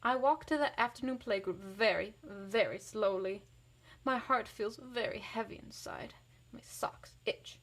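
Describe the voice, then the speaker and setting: Higher-voiced woman reading a children's story. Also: a little slow. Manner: animated, varies voice for characters. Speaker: picture book reader. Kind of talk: expressive children's read-aloud with casual asides.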